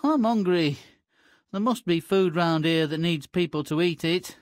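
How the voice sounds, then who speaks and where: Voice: mid-range voice, measured pace, dry recording, natural voice. An actor voicing a hungry, dim, lazy peasant boy with a whining, nonchalant manner in a cartoonish game. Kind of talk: cartoonish video game acting.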